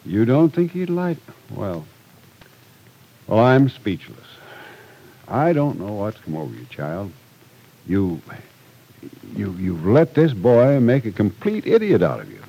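A man's voice: lowish voice, big pitch swings, slow, long pauses, mellow, slightly rough, heavily acted, low-quality recording. An actor playing an exasperated, protective older man with an incredulous, scolding manner in an old-time radio soap opera.

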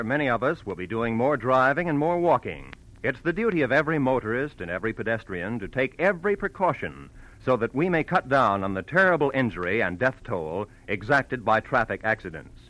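An American man announcing in formal, measured 1940s network radio style, with polished delivery. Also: mid-range voice, a bit bright, poor audio. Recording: vintage radio recording with faint music.

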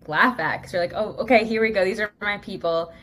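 A woman from the United States hosting an interview, chatty and quick to relate her own experience. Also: medium pitch, a bit bright. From USA. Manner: chatty, laughs easily, relates personally. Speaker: podcast host. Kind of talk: casual interview chat.

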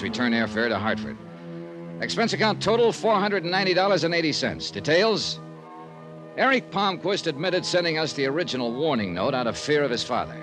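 A man's voice: mid-range voice, close to an everyday voice. An American actor playing a hard-boiled, sardonic insurance investigator who narrates his case in clipped 1950s radio style. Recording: vintage radio recording with music.

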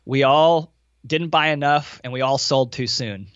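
A man's voice: mid-range voice, unhurried, fairly bright tone, smooth, no echo, highs cut off. An American man answering interview questions in a casual, informal, anecdotal way, with some self-deprecation. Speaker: podcast guest, value investor running a fund.

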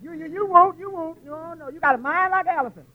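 An actor playing an eager, reassuring tavern pal in old-time radio comedy. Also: male voice, extremely high pitch, quick pace. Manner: excitable, prompting his friend. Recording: noisy, tinny vintage radio recording.

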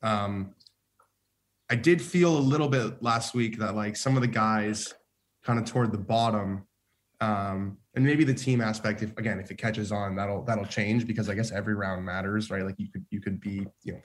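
A man on a golf panel, rambling through his thoughts and trailing off quietly. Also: on the low side, fairly even intonation, moderate pace. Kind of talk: casual panel discussion.

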